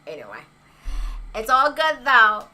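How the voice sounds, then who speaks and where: A woman's voice: a little high, wildly swooping pitch, a little slow, sharp tone. A young woman chatting casually on a livestream as an influencer, reading viewer comments and laughing often between rhetorical asides. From Haitian heritage.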